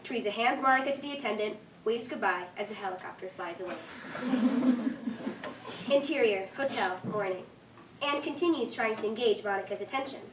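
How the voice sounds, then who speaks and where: A woman's voice: mid-range voice, fast. A woman reading stage directions as scripted narration at a staged reading. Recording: echoey room, distant mic, faint noise.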